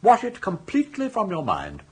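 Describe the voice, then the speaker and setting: Husky, jaunty old English gentleman schemer. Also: high voice, huge pitch swings, normal speed, full character voice. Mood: persuasive, emphatic. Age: older. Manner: garrulous, grandly worded, theatrical. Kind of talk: radio comedy drama acting.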